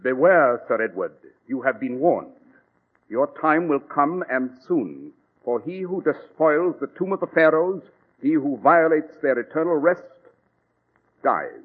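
An actor playing a commanding, quick-witted English detective with a clipped, urgent British delivery in a 1940s radio drama. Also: male voice, on the high side, expressive, slightly rough, phone-quality sound. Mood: ominous. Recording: noisy, band-limited 1940s radio recording.

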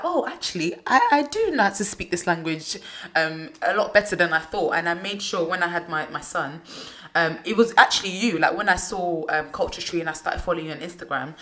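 A woman's voice: on the low side, expressive, quick pace, a bit bright. A woman from Nigeria, hosting a casual chat and telling her stories with animation. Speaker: host.

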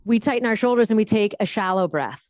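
Animated woman coaching on breath. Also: quick pace, no room sound. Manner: demonstrative, swings pitch high and low to illustrate. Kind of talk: explanatory interview talk with vocal demonstrations.

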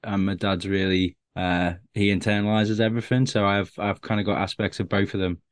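Self-deprecating man with a softened Scouse accent. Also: fairly low pitch, little pitch movement, brisk. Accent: Liverpool (Scouse), softened. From Liverpool, England. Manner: self-deprecating, rambling with many restarts. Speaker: stand-up comedian guest. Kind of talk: casual interview chat.

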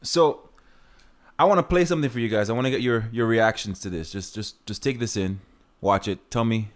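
A male podcast co-host in relaxed banter, often repeating himself. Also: fairly low pitch, brisk. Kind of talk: casual banter.

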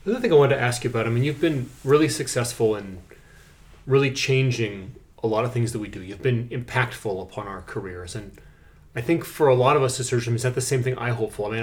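A man with an American accent, conversationally interviewing a guest as a podcast host. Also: mid-range voice.

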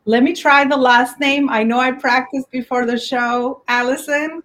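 A woman's voice: sits high, steady tone, normal speed, fairly bright tone. A woman with an Albanian accent hosting an interview show over a video call, upbeat and enthusiastic. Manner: enthusiastic, repeats "amazing". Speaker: podcast host. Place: home office in New York.

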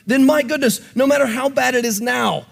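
A man with a notably high voice speaks as a Bible teacher. His delivery is conversational but emphatic, moving between explanation and reading aloud. He often repeats key phrases to press each point. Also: fairly quick, gravelly. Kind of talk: conversational Bible teaching with read-out scripture and quotations.

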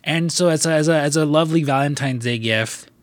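A man's voice: on the high side, a little fast, dry recording. Congested man rambling on a podcast. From US Midwest. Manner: loose and profane, rambling with many fillers. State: congested with sniffles. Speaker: podcast host. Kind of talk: casual chat.

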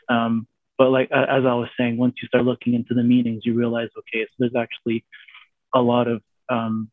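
A man from Canada speaking as a guest in a casual interview, hesitant and full of pauses and fillers. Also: mid-range voice, no echo. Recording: dry, tinny phone-like line.

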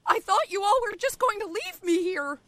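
A woman's voice: extremely high pitch, sing-song, moderate pace, a bit bright, dry recording, full character voice. A woman playing a stubborn, grumpy survivor in a zombie audio drama, snappy and sarcastic. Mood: panicked.

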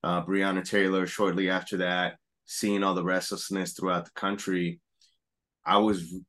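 A middle-aged man from Puerto Rico, a guest in an interview, telling his story in a relaxed way with frequent restarts. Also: low-pitched, moderate pace. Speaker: guest, apparel company founder. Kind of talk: conversational interview.

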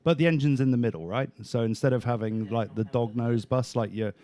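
A man's voice: mid-range voice, fairly quick. An English man with a British accent and some Australian turns of phrase, chatting casually as an interview guest and talking through plans with lots of fillers. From England. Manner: relaxed and chatty, many fillers, sometimes a rising inflection.

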